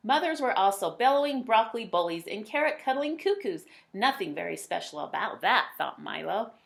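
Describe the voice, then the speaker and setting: A woman reads a picture book aloud in a mid-pitched voice. She is an expressive storyteller who leans on key words for drama and stretches some of them out. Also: gravelly. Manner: dramatic emphasis with drawn-out words.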